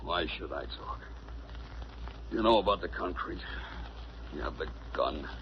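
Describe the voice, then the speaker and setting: An actor playing a sullen, defiant cornered murderer with a gravelly voice in 1940s radio style. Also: male voice, expressive, unhurried. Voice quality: low, gravelly. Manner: surly, defiant. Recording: muffled, noisy old radio recording.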